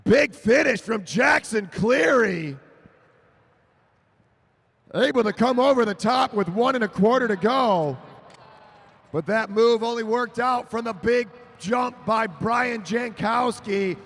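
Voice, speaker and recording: A man calling a track cycling race live, rising to shouts as the sprint builds. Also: extremely high pitch, slow pace, husky. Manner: excited, shouting names over the finish. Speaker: race announcer. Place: velodrome over a PA.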